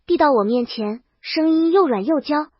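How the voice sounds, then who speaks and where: A woman's voice: high-pitched, lively intonation, moderate pace, no echo, lo-fi sound. A woman narrating a comedic story in the first person, in storybook style. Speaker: audiobook narrator.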